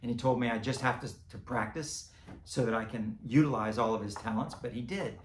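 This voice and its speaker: Hoarse man chatting in an echoey room. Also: mid-range voice, brisk, slight background noise. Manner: rambling, chuckling, self-correcting. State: losing his voice, cracking. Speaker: creator and seller of animatronic band items. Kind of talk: casual unboxing vlog chat.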